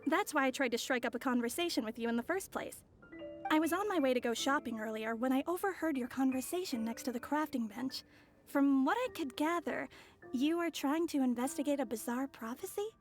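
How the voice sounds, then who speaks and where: A woman voicing a polite, composed young female traveler in a video game, courteous, measured and gently inquisitive. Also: sits high, lively intonation, soft background music. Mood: polite, friendly.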